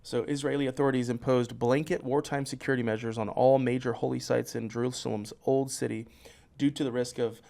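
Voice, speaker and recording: A man's voice: medium pitch, little pitch movement, a little fast, vocal fry, warm tone. A man co-hosting a podcast, casually reading news notes aloud and stumbling over unfamiliar place names. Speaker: podcast co-host.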